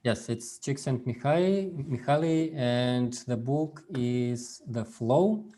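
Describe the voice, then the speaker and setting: A man whose first language is Russian gives an informal workshop over a video call, speaking haltingly with many fillers and restarts. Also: mid-range voice, lively intonation, warm tone. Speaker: workshop presenter. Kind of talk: informal online workshop talk.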